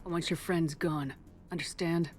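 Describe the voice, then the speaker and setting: Actress as a low-voiced, composed woman commander. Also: steady tone, normal speed, a little mellow, soft background music, close to an everyday voice. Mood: cool, commanding. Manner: terse, dry, commanding. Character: tough, composed female space military commander. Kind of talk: video game cutscene acting.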